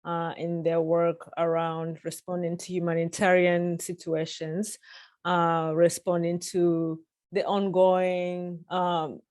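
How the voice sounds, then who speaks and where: A woman from Tanzania speaks on a webinar panel over a video call, in a slightly low voice. She talks earnestly, often pausing on ums and restarting her phrases. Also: little pitch movement, a little mellow. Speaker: church policy panelist.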